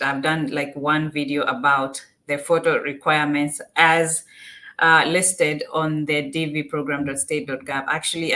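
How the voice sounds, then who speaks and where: Low-voiced Kenyan woman explaining step by step. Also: fairly bright tone. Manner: explanatory, repeats and rephrases points. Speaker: tutorial presenter. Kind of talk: informal step-by-step screen walkthrough.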